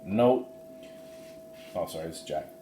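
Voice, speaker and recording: Male teacher, expressive children's read-aloud, low mellow voice, roomy sound. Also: measured pace, a little hiss or hum. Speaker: teacher reading a children's book to students.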